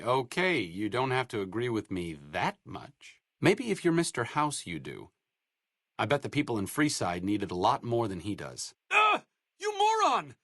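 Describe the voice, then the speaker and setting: A man's voice: on the high side, fairly bright tone, no echo, full character voice. An actor playing a sardonic, bookish male wasteland doctor with dry, self-deprecating wit in a video game. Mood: wry, then furious. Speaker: game companion character.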